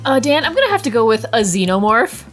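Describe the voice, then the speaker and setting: A woman's voice: on the high side, very animated, quick pace, soft background music. A woman podcast panelist trading comedic banter.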